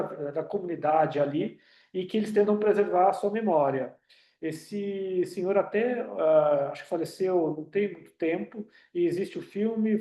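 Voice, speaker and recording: Brazilian-accented man, hesitant webinar speaker, mellow slightly high voice, roomy echo. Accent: Brazilian Portuguese. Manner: hesitant, many fillers and restarts. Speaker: union director speaking on a webinar. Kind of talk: online talk with audience questions. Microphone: computer mic on a video call.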